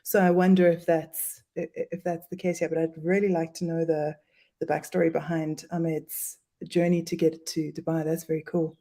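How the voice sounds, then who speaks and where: A woman's voice: sits low, flat delivery, normal speed, warm tone. A woman speaking as a guest in an online session, friendly and chatty. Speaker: guest speaker, commercial director. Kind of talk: conversational webinar talk.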